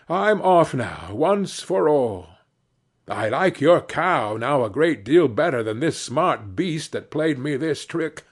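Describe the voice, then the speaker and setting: A man's voice: a little high, exaggerated voice. A man voicing a cheerful, naive young servant lad in a fairy tale, earnest and exclaiming. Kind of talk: fairy tale storybook reading.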